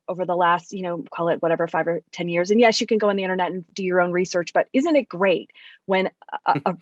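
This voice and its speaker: Lowish-voiced woman, dull dry audio. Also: no echo. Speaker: panel guest, venture investor. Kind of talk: conversational business discussion.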